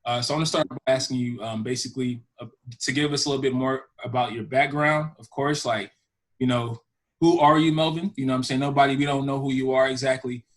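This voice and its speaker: A young man hosts a casual podcast in a voice of average pitch. He rambles, leans on filler words, and keeps the chat easygoing. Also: fairly even intonation, fast. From Houston, Texas. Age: young adult. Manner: rambling, full of fillers like "you know". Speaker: podcast host.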